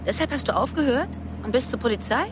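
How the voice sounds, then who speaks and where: A woman's voice: high-pitched, animated, quick pace. Anxious, probing woman on the run. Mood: worried. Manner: questioning, direct. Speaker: actress in a radio drama. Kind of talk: naturalistic German radio drama dialogue. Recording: noisy, outdoor car sounds. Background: vehicle and street ambience.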